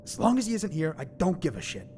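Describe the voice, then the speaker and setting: Man acting brash teenage boy, higher-pitched voice, animated swings, scornful, audio drama. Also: fast talker, a little hiss or hum. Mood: irritated. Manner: scornful, swearing, emotive. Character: brash, foul-mouthed, laid-back male high schooler with a grudge.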